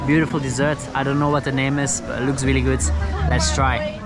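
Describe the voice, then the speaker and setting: A man's voice: mid-range voice, steady tone, fairly quick. A young man filming a travel vlog while walking through an outdoor night market, chatting upbeat and laughing often. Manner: chatty, upbeat, laughs often, tries a few local words. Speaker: travel vlogger. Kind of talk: casual vlog chat. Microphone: handheld camera at arm's length. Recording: loud music and crowd at an outdoor market.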